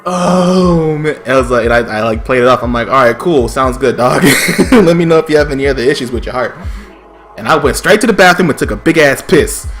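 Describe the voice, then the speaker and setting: A man's voice: medium pitch, expressive, a little fast, a bit bright, faint music behind. A man telling a personal story on a stream in a casual, slangy, profane way, acting out the quotes.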